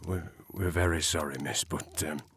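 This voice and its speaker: An actor playing a halting, respectful, sombre manservant with a husky voice in a period audio drama. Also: male voice, a bass voice, fairly even intonation, a bit bright, full character voice. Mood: sombre, apologetic. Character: apologetic, deferential manservant of a great house.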